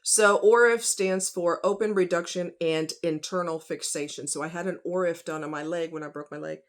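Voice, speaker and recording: A woman's voice: on the low side, fairly even intonation, moderate pace. A woman vlogger talking casually and directly to her viewers in a folksy, conversational, emphatic way.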